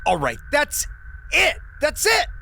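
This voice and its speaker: An actor playing an exasperated, sarcastic communications officer in an audio drama. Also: male voice, very high voice, slow pace, creaky, faint music behind, exaggerated voice. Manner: excitable, sarcastic. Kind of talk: audio drama acting, naturalistic dialogue.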